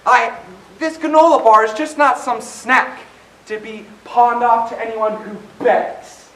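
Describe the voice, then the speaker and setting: An indignant, self-important young man acted by a male stage player speaks in a high voice with earnest pomposity. It is an amateur play, echoing in a hall and picked up from a distance. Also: fairly bright tone, heavily acted. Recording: echoey hall, distant mic, faint noise.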